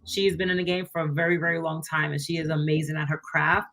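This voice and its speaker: A bright, forceful woman's voice tells her own writing routine in a chatty, animated way. She sits a little low in pitch and talks over a video call, slipping into acted-out quotes as she goes. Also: fast talker. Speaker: author guest. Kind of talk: casual interview chat.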